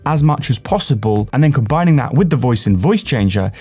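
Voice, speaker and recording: Male tutorial presenter, medium pitch, step-by-step explanations, stressed phrase openings. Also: lively intonation, fairly quick, darkish tone, breathy edge. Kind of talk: instructional software walkthrough.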